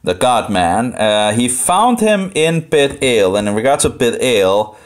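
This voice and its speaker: A Danish man with a Danish accent thinks aloud through informal Bible commentary, halting and rambling as he repeats phrases. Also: mid-range voice, very animated. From Denmark. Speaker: live streamer studying the Bible.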